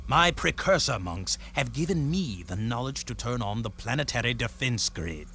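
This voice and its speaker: Casting: haughty scheming aristocrat villain, gravelly edge, sweeping theatrical delivery, music underneath. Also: male voice, medium pitch, animated, moderate pace, sharp tone, a little hiss or hum. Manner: pompous, haughty, grandiloquent. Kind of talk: animated video game acting. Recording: music behind the voice.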